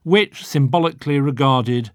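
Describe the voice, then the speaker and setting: A man reading a literary audiobook in an expressive, wry, theatrical manner. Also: mid-range voice, normal speed, no room sound, clean studio sound. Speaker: audiobook narrator.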